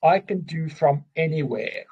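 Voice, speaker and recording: Husky-voiced man, slow and halting. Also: on the high side, animated, lo-fi sound. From South Africa. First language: Afrikaans. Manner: halting, restarts often, openly emotional. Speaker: guest. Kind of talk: casual conversation.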